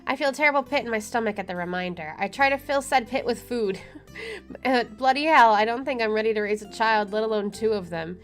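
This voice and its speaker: A woman's voice: medium pitch, fast talker. A woman doing a let's play, reading story text aloud and adding wry, chatty commentary. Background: game music.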